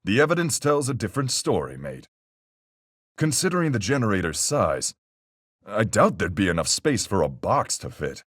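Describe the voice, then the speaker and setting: Man voicing a gruff sea captain, very deep, hugely animated intonation, level-headed, folksy seafaring slang, video game character, dry close sound. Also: no echo, exaggerated voice. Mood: analytical, measured. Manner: salty seafaring slang, measured deductive delivery. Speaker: voice actor in a fan-made mystery game.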